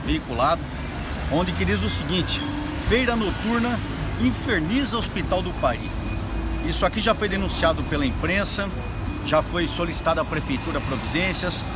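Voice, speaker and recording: A man, a state deputy filming an indignant street report, pointing things out to the camera. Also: sits high. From Brazil. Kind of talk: on-street political video report. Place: busy city street outdoors. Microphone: phone camera held close. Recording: loud street noise. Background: loud street traffic.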